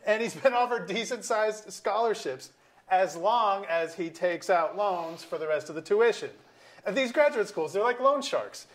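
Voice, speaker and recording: A man's voice: high-pitched, moderate pace, natural voice. A man acting a blunt, sardonic middle-aged husband and father in a staged comedy reading. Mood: bitter, ranting. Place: auditorium stage.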